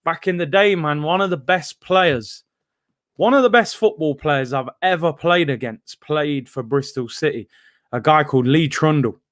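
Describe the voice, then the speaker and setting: A man's voice: a little high, moderate pace, no echo, studio quality. A young man, casually hosting a live football stream from home and reading out viewer comments. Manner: chatty, reads viewer comments aloud. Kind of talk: casual live watchalong chat.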